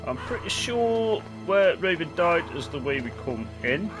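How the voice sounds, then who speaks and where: A man's voice: high voice, sing-song, a little slow. A man hosting a gaming channel, chatting and exclaiming as he comments on his gameplay. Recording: game music behind voice.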